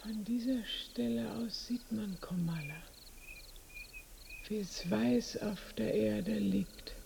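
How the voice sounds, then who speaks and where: An actress playing a wistful, bitter dying mother in a radio play, in a husky, breathy, halting voice. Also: medium pitch, warm tone, a little hiss or hum. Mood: wistful, haunting. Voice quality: husky, creaky, breathy near-whisper. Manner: very slow, halting, insistent. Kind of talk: German radio play acting.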